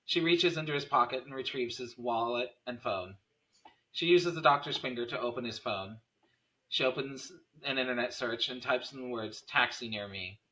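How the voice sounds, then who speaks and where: Male narrator for a script table read, stage directions in a bright, forceful mid-range voice. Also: medium pitch, moderate pace, rough recording.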